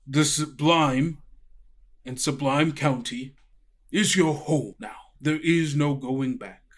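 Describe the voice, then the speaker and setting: A man's voice: fairly high pitch, takes it slowly. Man voicing a haughty, belittling owl principal. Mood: coldly authoritative. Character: stern, condescending owl school principal, cold authority.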